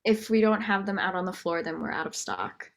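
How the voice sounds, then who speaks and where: A woman's voice: lowish voice, fairly quick, close to an everyday voice. A young woman playing a meek, unsure nineteen-year-old cashier, hesitant and apologetic, in an audio drama. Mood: flat, deferring. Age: young adult.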